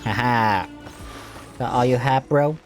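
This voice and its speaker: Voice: medium pitch, takes it slowly, music in the background. A gamer giving casual, laid-back reaction commentary while playing. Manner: laid-back, hums along, echoes game lines.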